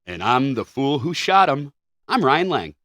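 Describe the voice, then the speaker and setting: A man's voice: mid-range voice, sing-song, a little slow, fairly bright tone, no echo, studio quality. A man co-hosting a podcast, bantering casually in an easygoing, agreeable way. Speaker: podcast co-host.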